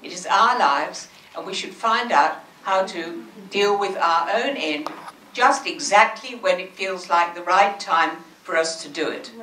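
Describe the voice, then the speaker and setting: An older woman with a loud, bright, forceful voice, speaking forthrightly and plainly. She is holding a mic in an echoing hall where faint noise can be heard. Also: fairly low pitch, a little slow, far from the mic. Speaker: audience member. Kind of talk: comment from the floor. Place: auditorium. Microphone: handheld mic.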